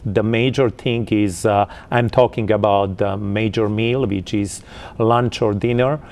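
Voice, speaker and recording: Gravelly Croatian-accented man, low voice. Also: fairly even intonation, a little mellow. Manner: halting, with many uh fillers. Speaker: interview guest. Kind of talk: casual interview answers.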